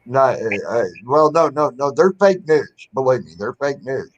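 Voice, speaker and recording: A man's voice: medium pitch, animated, normal speed, highs cut off. A man hosting a casual livestream chat, rambling and swearing freely as he airs strong opinions.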